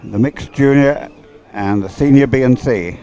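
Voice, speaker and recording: A man's voice: medium pitch, measured pace, warm tone. A man giving live commentary as a sports race commentator.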